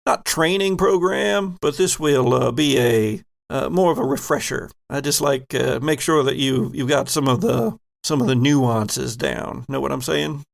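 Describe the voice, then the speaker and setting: A man's voice: mid-range voice, creaky. A man playing a polite, hesitant spaceship boss who hedges his way through sentences in a tabletop game. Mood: encouraging, tentative. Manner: halting, hedging, mild-mannered. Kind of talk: improvised in-character dialogue in a tabletop game.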